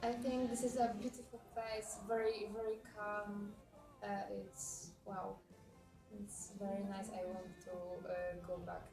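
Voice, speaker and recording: Distant young woman, slow. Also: medium pitch, flat delivery. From Poland. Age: 20s. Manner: short, halting answers. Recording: distant, roomy, music behind.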